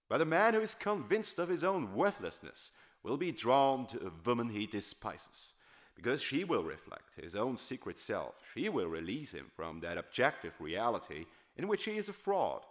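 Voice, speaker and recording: A man performs a literary monologue as a dramatic audiobook reading. His delivery is declamatory and emphatic, with a very animated pitch that rises and falls widely around a middle register. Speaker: literary reader performing a novel character's monologue.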